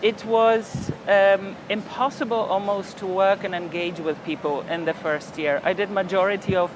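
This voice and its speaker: An older man from Poland, a diplomat speaking in a television interview in a reflective, measured way with frequent hesitations. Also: high-pitched, fairly even intonation, background noise. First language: Polish. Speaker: ambassador.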